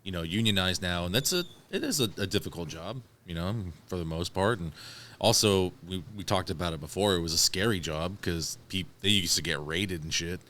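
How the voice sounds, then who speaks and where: A man's voice: deep voice, fairly quick, pushed and bright, a little hiss or hum. An American man co-hosting a podcast, speaking informally as he chats and shares news items. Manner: informal, swears. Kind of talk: casual chat with news read out.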